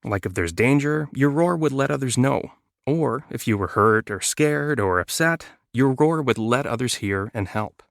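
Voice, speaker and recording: A man voicing a wise, gentle female elephant who reassures youngsters patiently in a children's storybook. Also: mid-range voice, expressive, moderate pace, gravelly, dry recording, studio quality. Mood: patient, explaining.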